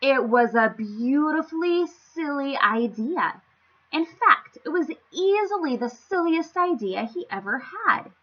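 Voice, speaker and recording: A woman reading a storybook aloud to young children, with theatrical, playful emphasis and an expressive storytelling style. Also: high-pitched, a little slow, muffled recording. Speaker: children's story-time reader.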